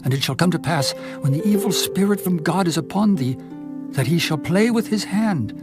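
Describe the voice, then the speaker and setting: A man's voice: sits high, animated, normal speed. An actor playing a deferential servant advising his king in a dramatized scripture reading. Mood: earnest, deferential. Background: music.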